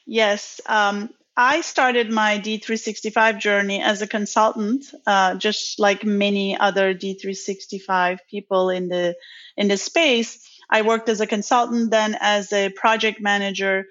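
A woman's voice: medium pitch, little pitch movement, measured pace, a bit bright. A woman in her forties, originally from Turkey, answering interview questions in a chatty way with many ums and restarts. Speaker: podcast guest, training company founder. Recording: dull lo-fi sound.

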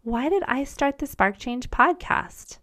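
Woman in her forties, native German speaker, earnest encouraging podcast host, fairly high voice. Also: lively intonation, unhurried, a bit bright. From United States. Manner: upbeat, encouraging, earnest delivery. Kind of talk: scripted solo podcast monologue.

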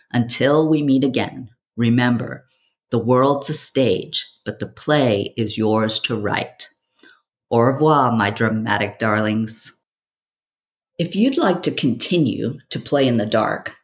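Slow-paced, theatrical woman podcast host. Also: rumbling low. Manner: theatrical, dramatic delivery. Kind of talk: scripted self-help monologue.

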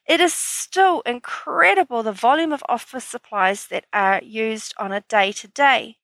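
A woman's voice: on the high side, unhurried, fairly bright tone, no room sound. A woman hosting a solo business podcast, sounding a bit stuffy from hay fever, in an upbeat, chatty, explaining manner. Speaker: podcast host.

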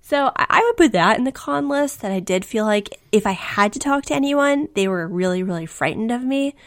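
A woman co-hosting a casual podcast, chatty and quick to laugh, her voice just back after losing it to the flu. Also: on the high side, animated. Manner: chatty, laughs often, self-deprecating. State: recovering from flu, voice recently lost. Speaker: podcast co-host. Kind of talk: casual chat.

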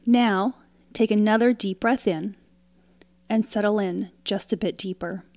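Slow-paced woman guiding a meditation. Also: medium pitch, no echo. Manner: calm, soothing, instructional, with long pauses between short phrases. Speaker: guided meditation narrator. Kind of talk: guided meditation, scripted narration.

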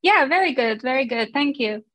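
A woman in her thirties from Iran, a company founder speaking as an interview guest, thoughtful and measured with many pauses. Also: sits high, expressive, a bit bright, no echo. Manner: thoughtful, frequent um and uh. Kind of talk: casual interview.

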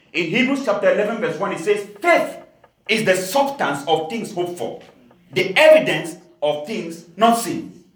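High-voiced preacher man, echoey hall. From Cameroon. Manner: emphatic preaching, laughing asides, rising to shouts. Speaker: pastor. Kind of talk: sermon. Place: church hall.

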